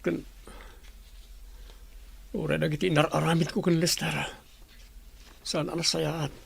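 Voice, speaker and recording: An actor playing a troubled adopted grandson in a radio drama, with a raspy, gravelly voice. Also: male voice, a little high, flat delivery, measured pace, full character voice. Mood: brooding.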